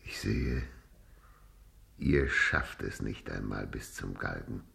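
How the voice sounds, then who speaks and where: A sanctimonious menacing cleric, extremely low gravelly voice, solemn, radio drama. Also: male voice, lively intonation, slow, noisy background, exaggerated voice. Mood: cold menace. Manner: solemn, coldly threatening. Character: menacing, sanctimonious male high churchman.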